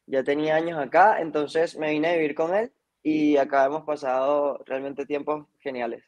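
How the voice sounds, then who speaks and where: A man's voice: a little high, steady tone, moderate pace, a little mellow, highs cut off. A man in his twenties with a Venezuelan accent and a slight Chilean lilt, chatting casually and reflectively over a video call. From Caracas, Venezuela. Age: 20s. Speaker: interview guest, singer-songwriter. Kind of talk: casual chat. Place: indoor room on a video call.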